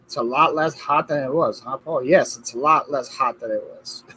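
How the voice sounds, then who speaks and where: A man's voice: mid-range voice, normal speed, fairly bright tone, low-quality recording. Joking man with faint background noise. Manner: joking, stammers on restarts, reads chat aloud. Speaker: co-host of a livestream. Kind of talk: casual livestream chat.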